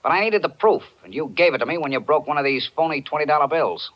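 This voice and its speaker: An actor plays a matter-of-fact police detective in an old radio drama. He is a confident man with a slightly high voice and a knowing delivery, heard on a dull vintage recording. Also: animated, quick pace, lightly acted. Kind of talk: 1940s radio acting. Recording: muffled 1940s radio recording.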